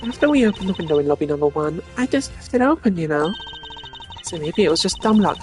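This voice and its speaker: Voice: medium pitch, animated, quick pace, a little mellow, soft background music, voice pushed up, close to an everyday voice. A streamer voicing a smug, mocking young woman suspect from a video game, teasing, dismissive and theatrical. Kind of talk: video game character voice acting.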